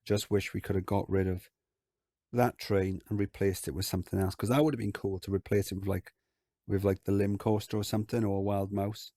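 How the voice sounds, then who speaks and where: A man with a croaky voice, hosting a casual game commentary and rambling with lots of filler words. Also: fairly low pitch, flat delivery, brisk, dark tone, no echo. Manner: rambling, lots of ums and likes. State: croaky voice from illness. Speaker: gaming channel host. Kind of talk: casual let's-play commentary.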